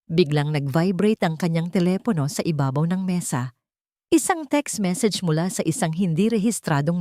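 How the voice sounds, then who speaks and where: A woman reading scripted dramatic fiction as a storyteller, in a measured, dramatic manner. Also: on the low side, dark tone, no echo, clean studio sound. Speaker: story narrator.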